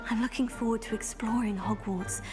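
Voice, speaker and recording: A woman's voice: a little high, steady tone, a little fast, lightly acted. A woman playing a polite, eager teenage girl, a new wizard school student in a video game. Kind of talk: cinematic video game acting. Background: orchestral game music.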